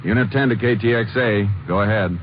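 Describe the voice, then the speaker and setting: An actor playing a stern, dogged Texas Ranger in a 1950s radio drama, terse and matter-of-fact. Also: male voice, fairly low pitch, steady tone, fast talker, background noise, lightly acted. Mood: firm, determined. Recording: muffled, lo-fi vintage radio recording.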